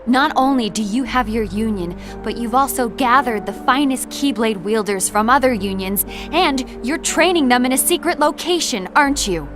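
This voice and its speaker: An actress voicing a gentle, concerned young woman in a video game cutscene, with music behind. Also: fairly high pitch, animated, normal speed, few pauses, close to an everyday voice. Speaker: game character.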